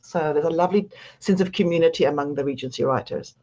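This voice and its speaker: A woman with a South African accent talks through a radio interview as an author guest. She is chatty and laughs at herself often, with a somewhat low voice. The sound is muffled and lo-fi. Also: fast talker, dark tone.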